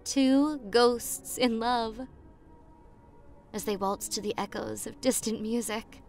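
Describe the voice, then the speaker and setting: A sweetly excitable young college girl, acted by a woman, with a fairly high voice. She talks slowly and dreamily, with dramatic pauses, like a date telling ghost stories in an intimate ASMR roleplay. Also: soft background music. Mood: dreamy, storytelling. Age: young adult.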